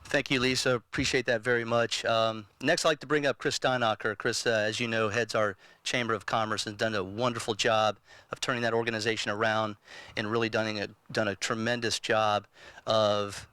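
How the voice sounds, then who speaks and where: A man's voice: fairly low pitch, flat delivery, brisk, pushed and bright, clean tone, no echo. A man acting as event organizer and master of ceremonies, introducing speakers at a press event.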